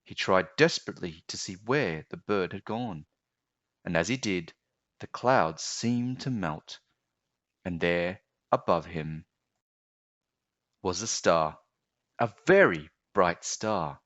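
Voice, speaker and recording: A man narrating a children's storybook aloud in a measured, expressive storytelling manner. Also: low-pitched, dry recording, dull audio.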